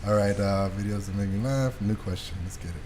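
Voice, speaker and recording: A man's voice: on the low side, quick pace, darkish tone. A man hosting a podcast, chatting and asking questions in an informal, slangy way. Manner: slangy, informal, swears casually. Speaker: podcast host. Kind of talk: casual interview chat. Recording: clear background noise.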